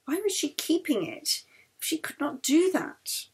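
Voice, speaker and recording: A woman narrating a novel as its author, with dramatic, deliberate phrasing. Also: sits high, sing-song, a little mellow. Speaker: author reading their own novel. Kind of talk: expressive audiobook narration. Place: recorded at home.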